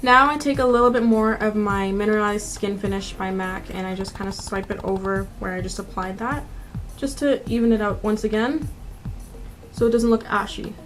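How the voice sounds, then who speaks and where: A woman in her twenties talks through a makeup routine in a chatty, informal manner. Her voice sits at a medium pitch, and music plays along behind her. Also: steady tone, normal speed. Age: 20s. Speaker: beauty vlogger. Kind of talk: casual makeup tutorial narration. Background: background music.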